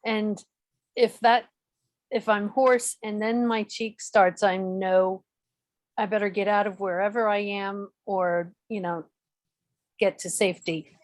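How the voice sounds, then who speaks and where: A woman and mother talking on a discussion panel, measured and calm, pausing between short phrases. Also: medium pitch, dry recording.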